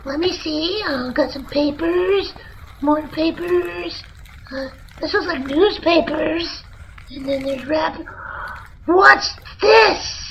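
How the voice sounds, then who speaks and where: Impulsive male monkey kid, very high and squeaky, played by a woman; muffled lo-fi radio-drama sound. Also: slow, a bit bright, a little hiss or hum, exaggerated voice. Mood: childish. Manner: impulsive, whiny, easily distracted. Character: childish excitable young male monkey. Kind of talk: amateur old-time radio parody. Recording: muffled lo-fi audio with music.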